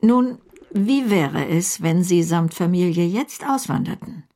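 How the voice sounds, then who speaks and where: An actress playing a brisk, kindhearted older aunt in a German radio drama, decisive and matter-of-fact. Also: female voice, lowish voice, expressive, warm tone. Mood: brisk generosity.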